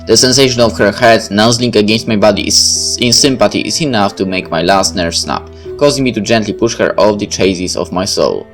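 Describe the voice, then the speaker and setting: A man with a fairly low voice reads through a first-person story game. He mixes narration with casual player commentary, and soft guitar music plays faintly underneath. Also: fairly quick. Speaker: gamer reading a visual novel aloud and commenting. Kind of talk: dramatic read-aloud of first-person fiction with casual asides.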